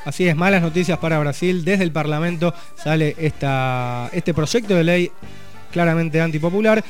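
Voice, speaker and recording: Higher-voiced man hosting radio news chat. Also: normal speed, soft background music. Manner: relaxed, conversational, reads news items with asides. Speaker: radio host.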